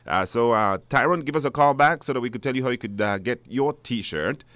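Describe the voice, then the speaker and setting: A man's voice: mid-range voice, expressive, fairly quick, fairly bright tone, no room sound. A man with a Belizean accent hosting a live radio call-in show, putting questions to callers. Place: radio studio.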